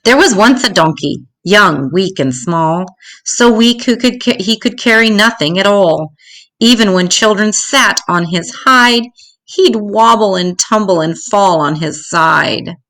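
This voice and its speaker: An older woman storyteller reading a children's story aloud in an expressive, measured way. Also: mid-range voice.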